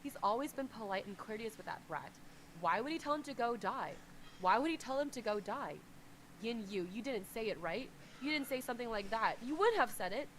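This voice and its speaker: A woman's voice: a little high, expressive, a little fast, a bit bright, rough recording. Woman voicing a fiercely loyal young servant. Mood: insistent. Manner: pleading, rising to desperate cries. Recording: clear background noise.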